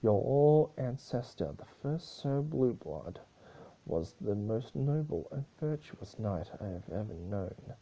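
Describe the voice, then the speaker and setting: A man's voice: medium pitch, sing-song, takes it slowly, creaky, warm tone, lo-fi sound, full character voice. A man voicing a stern, grieving pony princess with a grave, accusatory, declamatory delivery in an amateur story reading. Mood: bitter scorn. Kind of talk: amateur dramatic reading of fan fiction.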